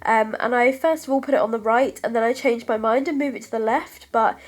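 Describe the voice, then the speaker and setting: Stuffy-nosed young uk woman with a cold, kinda breathless, chatty and sorry-sounding, slightly high voice. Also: brisk. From United Kingdom. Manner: chatty, apologetic, lots of ums. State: congested from a cold, stuffy nose; short of breath, voice fading. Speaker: craft vlogger. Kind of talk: scrapbooking process voice-over.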